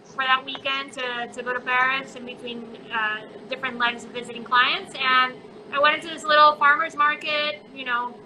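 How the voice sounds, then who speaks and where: Bright high-voiced woman chatting. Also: little pitch movement, moderate pace, slight background noise, rough recording. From Colombia. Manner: chatty, with many fillers and restarts. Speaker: chocolate maker, guest. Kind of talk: casual interview chat. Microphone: phone on a live video chat.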